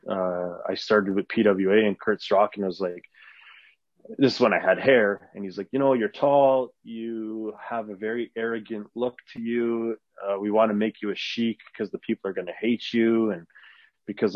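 Low-voiced man, rambling answers. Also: no echo. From Canada. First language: Arabic. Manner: rambling, many fillers and restarts. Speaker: wrestler guest. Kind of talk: casual interview answers. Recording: dull lo-fi dry sound.

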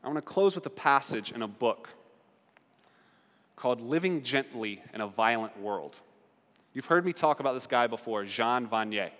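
A male pastor delivers a sermon in an earnest tone, pushing louder and higher as he builds toward key points. His voice sits at an ordinary middle pitch. The sound trails off in the long reverb of a large church sanctuary.

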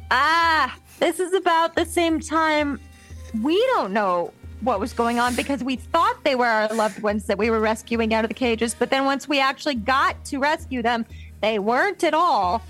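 A woman's voice: high voice, lively intonation, normal speed, fairly bright tone, soft background music, poor audio. A woman playing in a tabletop roleplay, with wry, dry humor. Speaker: player.

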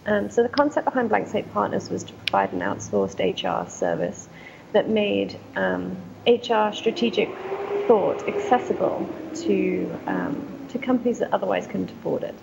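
English woman with a heavily gravelly voice. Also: mid-range voice, very animated, normal speed, noisy background. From England. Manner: conversational, frequent ums, occasional laughs. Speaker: guest, company founder. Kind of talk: casual interview answers.

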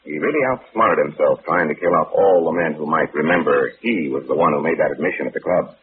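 An American actor playing a cool, quick-witted gentleman sleuth in a 1940s radio drama, assured and urbane on a noisy old recording. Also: very deep voice, phone-quality sound.

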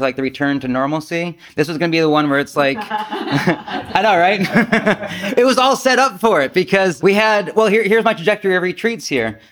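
Higher-voiced man giving an informal dharma talk. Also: fairly bright tone. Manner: self-deprecating, rambling. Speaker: Zen teacher.

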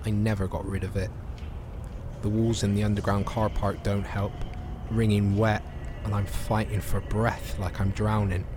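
A man's voice: on the low side, flat delivery, moderate pace, background noise, close to an everyday voice. An actor playing a desperate young homeless man in an audio drama, breathless and chesty, pleading and confessional. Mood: weary. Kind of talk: audio drama acting, dialogue and monologue.

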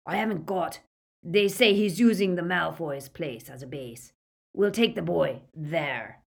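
A woman voicing a brutal, greedy male werewolf gang leader who growls, rasps and snarls his lines. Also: on the low side, measured pace, exaggerated voice. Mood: growling. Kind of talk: audiobook character voice.

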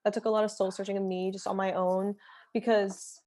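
A woman's voice: mid-range voice, level tone, fairly quick, a little mellow. Casting: young woman, singer guest, chatty and filler-heavy. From Philadelphia. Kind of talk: casual interview chat.

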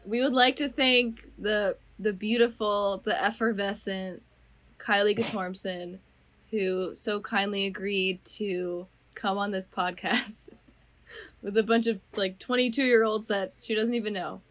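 A woman's voice: medium pitch, a little slow. A woman in her twenties co-hosting a casual podcast, retelling a story with fillers and frequent laughs. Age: 20s. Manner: animated, laughs often, filler-heavy storytelling. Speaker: podcast co-host. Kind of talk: casual podcast chat, retelling a movie pitch.

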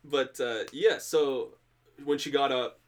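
A man recounts a night out on a casual podcast, chuckling and restarting his sentences. His voice sits in a middle pitch. He talks loosely and unscripted. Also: fairly even intonation, slow. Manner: storytelling, laughs often, trailing restarts. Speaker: podcast host. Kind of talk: casual chat.

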